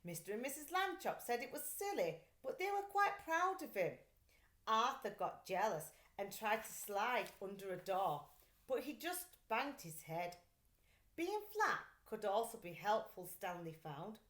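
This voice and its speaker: A woman reading a children's story aloud like a teacher, in an expressive, deliberate, carefully phrased way. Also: on the high side. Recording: echoey, roomy sound.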